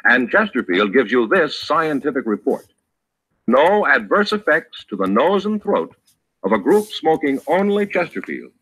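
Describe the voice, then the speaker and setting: A male announcer from old-time radio delivers a sales pitch. His mid-range voice is very animated, with polished, emphatic phrasing in the 1950s broadcast style. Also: unhurried, slightly rough, rough recording.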